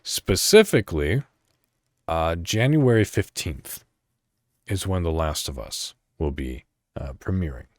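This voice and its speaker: A low-voiced man giving casual podcast commentary at an unhurried pace. His pitch moves around a lot as he thinks out loud in a loose, rambling way, and the sound is dry and close. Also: animated, no room sound. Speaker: podcast host.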